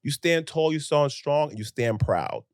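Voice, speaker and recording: A young American man co-hosting a podcast in casual chat, talking in an animated, emphatic way with rhetorical questions. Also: a little high, moderate pace, no echo, studio quality. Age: young adult. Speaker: podcast co-host.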